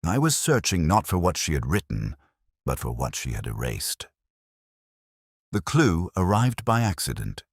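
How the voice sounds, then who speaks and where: A man with an extremely deep voice narrates a detective mystery in the first person, slow-minded and deliberate in his phrasing. His pitch still moves expressively from line to line, and the sound is dry, with no room around it. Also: wildly swooping pitch, husky, no room sound. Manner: measured, deliberate, brooding.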